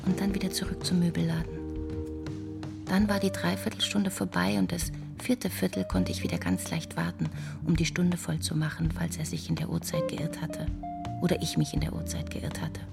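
A woman's voice: on the low side, animated, moderate pace, vocal fry. An actress playing a stood-up woman in a radio play monologue, patiently and meticulously recounting in a matter-of-fact way. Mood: patient, wistful. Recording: music behind the voice.

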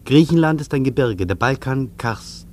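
Actor as world-weary flier, noisy old radio recording. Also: male voice, medium pitch, lively intonation, moderate pace, close to an everyday voice. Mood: disillusioned, insistent. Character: world-weary male flier musing on the earth below. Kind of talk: literary radio play monologue.

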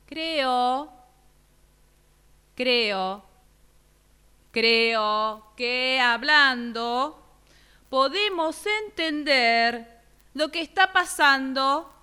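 A woman's voice: high voice, drawn-out words, long pauses, bright, forceful tone, clean tone, full character voice. A woman in a radio drama exercise playing an earnest woman pleading to talk, insistent and repetitive.

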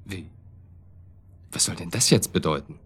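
A man's voice: deep voice, normal speed, vocal fry, fairly bright tone, slightly rough, exaggerated voice. An actor playing a wary professional man under questioning in a radio crime drama, formal, puzzled and defensive. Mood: uneasy.

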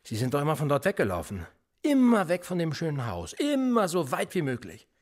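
An actor playing a police interrogator in a radio play, sardonic and menacing, needling with theatrical rhetorical questions. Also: male voice, on the high side, wildly swooping pitch, fairly quick. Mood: sly, menacing. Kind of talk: naturalistic German radio play acting.

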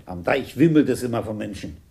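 An actor playing a businesslike police detective in a German radio crime drama, brisk and probing. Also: male voice, medium pitch, animated, creaky, dark tone. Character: businesslike male police detective questioning people.

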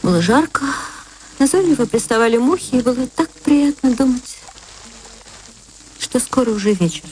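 A woman reading literary prose as a radio narrator, in an expressive, measured storytelling manner. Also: fairly high pitch, low-quality recording. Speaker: narrator, stage actress.

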